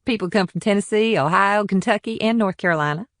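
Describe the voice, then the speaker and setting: A self-assured, flirtatious businesswoman voiced by an actress in an audiobook, proud of what she has built. She speaks with poise at a middle pitch, recorded close and dry. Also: fast talker, dry recording, natural voice. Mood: proud, businesslike. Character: confident, proud, flirtatious businesswoman running an upscale inn. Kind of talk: audiobook character dialogue.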